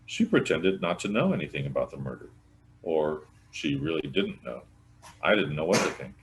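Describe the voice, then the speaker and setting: A man with an extremely low voice reads a mystery story aloud. He narrates from a written text as a volunteer reader. The recording has clear background noise. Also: big pitch swings, moderate pace. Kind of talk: scripted read-aloud of a mystery novel.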